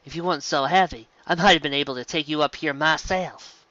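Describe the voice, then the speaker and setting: A man's voice: a little high, fairly quick, bright, forceful tone, dry recording, highs cut off. A man voicing a brash young female pegasus pony, teasing and scolding, emphatic and familiar, in a story reading.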